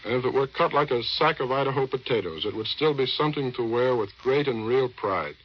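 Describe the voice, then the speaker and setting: A man's voice: medium pitch, brisk. Old 40s radio guy doing interviews, american, wry and folksy, muffled lo-fi sound. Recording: dull vintage 1940s radio recording.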